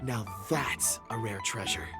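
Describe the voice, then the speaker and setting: An actor playing a courteous, flattering gem merchant in a video game. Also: male voice, mid-range voice, measured pace, close to an everyday voice. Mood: appraising. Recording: game audio with clear background music. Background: game music.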